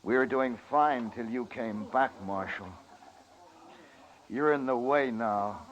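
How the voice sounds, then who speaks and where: An actor playing a crooked, defiant American frontier gambler, argumentative and theatrical, in a radio western. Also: male voice, medium pitch, slow, a little hiss or hum, lightly acted. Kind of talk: old-time radio western acting. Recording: muffled old radio recording, crowd behind.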